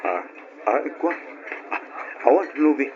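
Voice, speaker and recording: A man's voice: medium pitch, big pitch swings, normal speed, lightly acted. A young man with an Ilocano accent playing an evasive, preoccupied boyfriend in an old radio drama. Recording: noisy, dull lo-fi radio recording.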